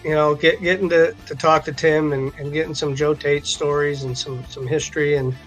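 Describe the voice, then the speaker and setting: A man's voice: on the high side, little pitch movement, moderate pace, soft background music, rough recording. A man co-hosting a sports show with casual chat, often repeating words as he goes. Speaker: co-host of a sports card live stream.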